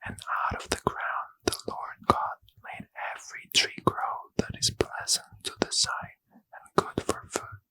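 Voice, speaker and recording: A man reading scripture as a bedtime ASMR story in a breathy, hushed, careful whisper, close to the mic. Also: poor audio. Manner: slow, careful, hushed delivery. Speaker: ASMR reader.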